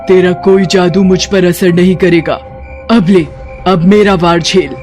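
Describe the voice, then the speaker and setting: Horror-story villain: man performing a threatening old forest witch, slow and high-pitched, gloating delivery. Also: steady tone, a little mellow, faint music behind. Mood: menacing, gloating. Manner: threatening, drawn-out taunting delivery. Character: menacing cackling old forest witch, female. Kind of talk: dramatized Hindi horror story.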